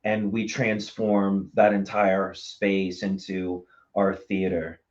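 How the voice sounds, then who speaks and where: A man speaking earnestly and haltingly as a theater guest in a casual interview. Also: low-pitched, flat delivery. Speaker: theater company member and guest. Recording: dull, lo-fi sound.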